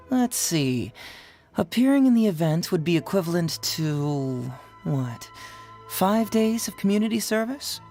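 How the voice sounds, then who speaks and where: Young male detective for a game: breezy, smooth-talking needler, quick-witted and polite. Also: high-pitched, a little mellow. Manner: playfully needling, polite and friendly. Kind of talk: video game voice acting.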